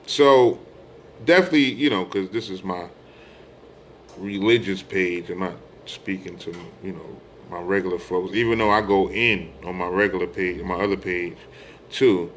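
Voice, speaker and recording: A man's voice: low voice, moderate pace, slight background noise. A middle-aged American man giving an informal religious monologue, speaking emphatically, repeating phrases and posing rhetorical questions. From United States. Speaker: religious speaker addressing online followers.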